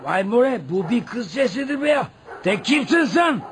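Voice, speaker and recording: Very high-pitched brusque Balkan watchman. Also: animated, moderate pace. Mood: annoyed, surprised. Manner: brusque, drawling, rustic. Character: gruff mocking male farm watchman. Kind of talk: Turkish radio theatre acting. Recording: noisy old radio play recording.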